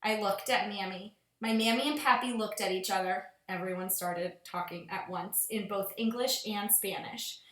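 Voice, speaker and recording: A woman teacher reading aloud to young children in an expressive storytelling manner. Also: mid-range voice, moderate pace, few pauses, echoey room, rough recording. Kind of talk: expressive picture-book read-aloud.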